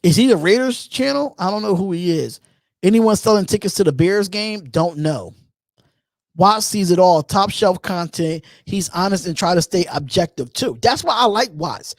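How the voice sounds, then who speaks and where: A man in his thirties hosting a live call-in show on his own, talking casually, repeating phrases and pausing on drawn-out ums while he reads out chat comments. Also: high voice, expressive, no echo, studio quality. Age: 30s. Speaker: host.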